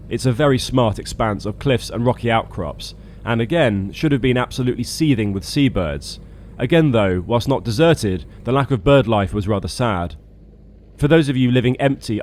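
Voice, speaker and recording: Low-voiced man, wry scripted narration. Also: a little mellow. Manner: wry, dry understated humour. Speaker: video narrator, boat skipper. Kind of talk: scripted travel vlog narration.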